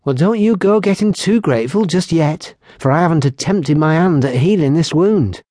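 A man reading an audiobook, voicing a lively young woman who works as a barmaid and healer and talks in a brisk, teasing, scolding way. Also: fairly high pitch, no echo, studio quality, pitched above the performer's own voice, lightly acted.

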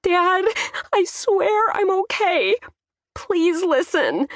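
A woman acts out a shaken young daughter in an audiobook. Her voice is lifted high and strained, and she speaks haltingly as she pleads for calm, recorded close and dry. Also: dry recording. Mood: tearful pleading.

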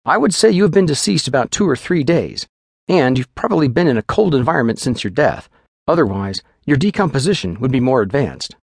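A man's voice: mid-range voice, very animated, a little fast, vocal fry, breathy edge, no room sound, clean studio sound, voice pushed up, exaggerated voice. A man playing a rattled middle-aged doctor, speaking in a fussy, clinical and nervously reassuring way.

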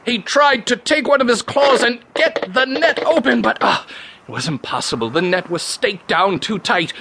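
A man telling a tale theatrically, with animated delivery and dramatic pauses. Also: very high voice, gravelly, a little hiss or hum. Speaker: storyteller. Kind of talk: theatrical first-person storytelling.

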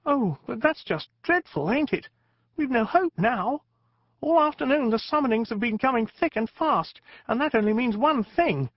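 Squeaky fretful little frog jinni. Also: male voice, lively intonation, moderate pace, dry recording, muffled recording, exaggerated voice. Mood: anxious. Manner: fretful, whiny, common-speech. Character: timid small male frog-shaped jinni sentry.

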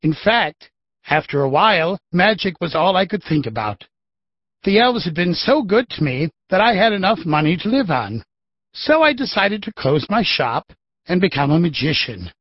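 A man playing a gentle, eager, bumbling novice magician, earnest and friendly, in a children's audiobook. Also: sits high, very animated, normal speed, no echo. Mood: enthusiastic. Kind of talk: children's full-cast audiobook acting. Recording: dry, slightly dull audio.

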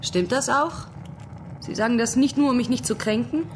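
Woman acting a high-voiced blunt farm girl. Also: normal speed, slight background noise, lightly acted. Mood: shy, unsure. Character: young farm girl cousin, blunt and insecure. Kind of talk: German radio drama acting.

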